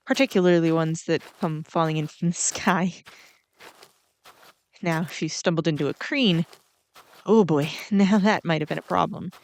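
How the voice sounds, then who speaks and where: Gentle, flustered ant queen, voiced by a woman. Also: mid-range voice, natural voice. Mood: chatty, gentle. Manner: gentle, hesitant, rambling with small nervous laughs. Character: kindly, flustered giant four-armed ant queen. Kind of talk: scripted roleplay audio drama, addressing the listener.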